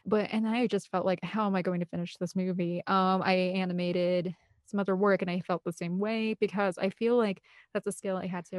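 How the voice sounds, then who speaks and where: A woman's voice: on the low side, darkish tone, no echo. A woman speaking as an interview guest in a casual, rambling and emphatic conversational way. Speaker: interview guest, film composer and director.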